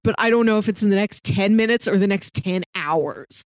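A woman's voice: medium pitch, brisk, no echo. A woman co-hosting a podcast, chatting casually about film in a conversational way peppered with "like.". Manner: conversational, frequent "like". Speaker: podcast co-host. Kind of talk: casual film discussion chat.

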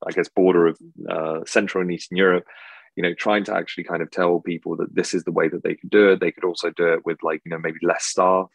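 A man's voice: deep voice, level tone, fast talker, gravelly, dry recording. A man with an English accent answering interview questions as a hospitality tech founder, rambling with lots of filler words. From Czech Republic. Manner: rambling, heavy filler words like "basically" and "you know".

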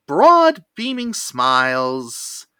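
A podcast host recaps a cartoon with theatrical flair. The voice is bright and very animated, rising and falling freely. It is recorded dry and close. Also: mid-range voice, very slow, clean tone, dry recording. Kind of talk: lively cartoon episode recap.

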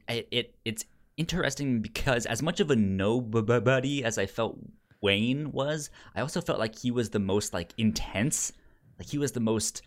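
Hesitant, stammering podcast host. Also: mid-range voice. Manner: hesitant, stammering with repeated sounds. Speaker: film discussion podcast host. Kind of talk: casual film discussion.

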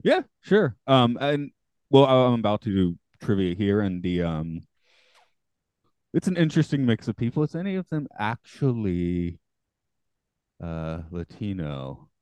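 Gravelly, mellow-voiced podcast host man. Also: on the low side, very animated, a little slow, dry recording. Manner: chatty, self-deprecating, laughs often. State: morning gravel in voice. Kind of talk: casual podcast chat.

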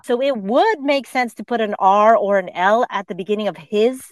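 A woman's voice: medium pitch, normal speed, dry recording, studio quality. A woman co-hosting a podcast, chatting casually and explaining pronunciation with frequent fillers and laughs. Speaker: podcast co-host.

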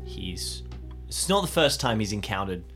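Low-voiced man narrating his roleplay character. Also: big pitch swings, normal speed, over music. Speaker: player in improvised audio drama.

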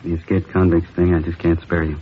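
An actor playing a weary, middle-aged veteran police captain in an old radio drama, terse and subdued but sympathetic. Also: male voice, deep voice, little pitch movement, fairly quick, natural voice. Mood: sympathetic, resigned. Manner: terse, subdued, clipped sentences. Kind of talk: 1950s radio acting. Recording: tinny, hissy old radio recording.

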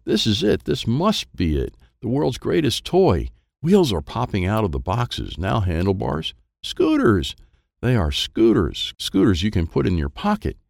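Man acting a small boy narrator, storybook, wide-eyed, excitable and wistful, very lively intonation, gravelly creak. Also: fairly low pitch, unhurried, no echo, studio quality, pitched above the performer's own voice, full character voice. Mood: eager, wistful. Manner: simple, wide-eyed, childlike phrasing. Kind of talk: first-person present-tense children's storybook narration.

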